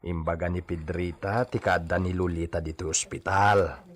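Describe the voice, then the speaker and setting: An actor playing a humble, worried servant with a husky voice, deferential and pleading, in an audio drama. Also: male voice, deep voice, steady tone, moderate pace. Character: humble, worried male servant speaking to mistress. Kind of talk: Filipino audio drama acting.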